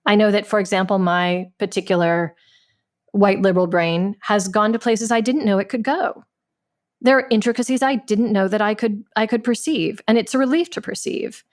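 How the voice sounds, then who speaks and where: A woman with a medium-pitched voice talks reflectively. She feels her way through sentences, stopping to repeat and reshape phrases as she goes. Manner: halting, searching for words, repeats phrases. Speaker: member of a play's creative team. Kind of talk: reflective discussion.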